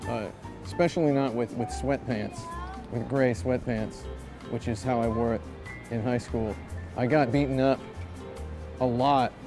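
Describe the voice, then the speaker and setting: A man in his thirties from Indiana telling a self-mocking anecdote in a rambling, animated way, as a video game reviewer. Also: medium pitch, a little slow. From Indiana, USA. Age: 30s. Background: music.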